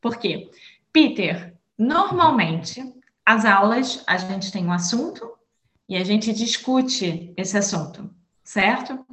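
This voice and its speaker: Slow-speaking woman teacher, echoey room. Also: mid-range voice, animated, fairly bright tone. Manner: friendly, explanatory, checks in with learners. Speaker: Portuguese teacher. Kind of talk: explaining a lesson plan.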